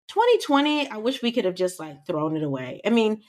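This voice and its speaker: A woman's voice: mid-range voice, big pitch swings, brisk, a little mellow. A woman in her forties co-hosting a podcast, chatting emphatically and talking straight to her listeners. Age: 40s. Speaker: podcast co-host. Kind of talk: casual chat.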